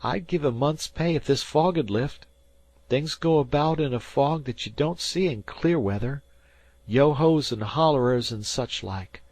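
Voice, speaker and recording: A man's voice: medium pitch, a little slow, darkish tone, no room sound, pitched above the performer's own voice. A man voicing a frightened teenage fisherman boy who talks in rustic old-time dialect. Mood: spooked.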